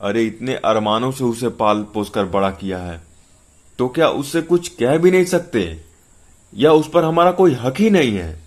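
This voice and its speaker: A man's voice: medium pitch, animated, moderate pace, pitched above the performer's own voice, natural voice. A man voicing an angry, domineering wealthy businessman father who scolds and blusters in indignation, in a narrated story. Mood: indignant.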